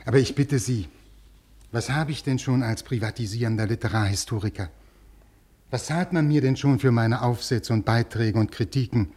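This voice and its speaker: A man's voice: medium pitch, normal speed, a little mellow, husky, natural voice. An actor playing an anxious scholarly man who pleads and over-explains earnestly, in a 1950s German radio play. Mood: anxious, pleading. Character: anxious, pleading, scholarly male literary historian.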